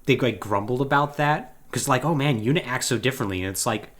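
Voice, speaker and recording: A man co-hosting a podcast in casual chat, talking in an animated, emphatic, conversational way. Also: mid-range voice, quick pace. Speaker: podcast co-host.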